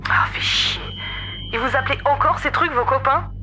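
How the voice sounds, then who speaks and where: A woman's voice: high-pitched, normal speed, pushed and bright, slight background noise. A woman playing a feisty, sarcastic submarine pilot in a sci-fi audio drama, grumbling in informal speech. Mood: annoyed.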